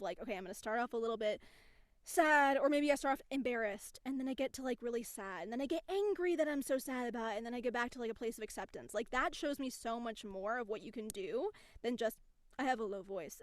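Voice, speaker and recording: A woman voice actor and casting director, a podcast guest, explaining her craft in casual, animated talk. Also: on the high side, no echo, studio quality. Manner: animated, explains with quoted examples.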